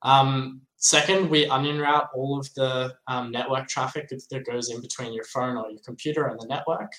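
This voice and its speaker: An Australian man speaks as an interview guest, explaining things at a medium pitch. His voice comes through with a clearly roomy echo, as on a remote call from indoors. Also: pushed and bright. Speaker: guest, software project developer. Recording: roomy, echoey sound.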